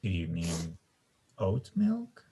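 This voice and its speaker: A man's voice: low-pitched, wildly swooping pitch, slow pace, warm tone, breathy edge, exaggerated voice. A man playing a cool, aloof, trendy young man with a hesitant, polite manner in a screenplay table read. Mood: coolly amused.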